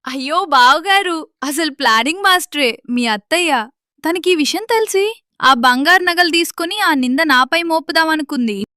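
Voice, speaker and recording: Cartoon heroine, voiced by a woman: high, bright, reproachful. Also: animated, normal speed, dry recording, clean studio sound. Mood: indignant. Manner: indignant, reproachful.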